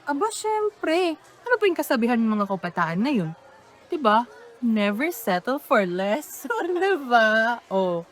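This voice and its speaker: A woman's voice: fairly high pitch, sing-song, unhurried. A woman with a Filipino accent playing a doting but careless, teasing mother in a radio drama. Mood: playful boasting. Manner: chatty, playful, nagging. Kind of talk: radio drama acting in casual family banter.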